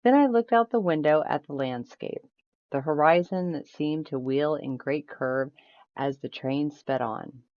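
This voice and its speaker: A woman's voice: sits low, gravelly. A woman reading a ghost story aloud as scripted storybook narration. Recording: roomy echo, slightly dull sound.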